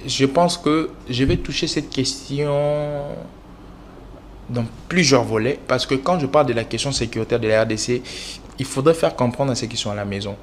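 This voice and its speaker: A man from the Congo answers interview questions as a political analyst. He lectures at length, often halting on fillers and restarting his phrases. Faint background noise runs under his voice. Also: medium pitch. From Congo (DRC).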